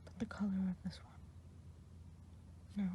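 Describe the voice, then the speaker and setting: A woman playing a kindly witch who tests a patient's eyes, whispering softly and asking patient questions in an ASMR roleplay. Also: mid-range voice, little pitch movement, a little slow, slight background noise, natural voice. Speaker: ASMR performer.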